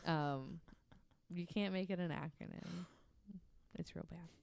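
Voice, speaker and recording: A woman podcast host with a clear, smooth voice that sits a little low. She tells true stories like casual chat, candid and self-deprecating, swearing now and then. The sound is dry and close. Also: fairly even intonation, a little slow, a little mellow, no echo. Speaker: podcast host telling the story. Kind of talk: casual nonfiction storytelling chat.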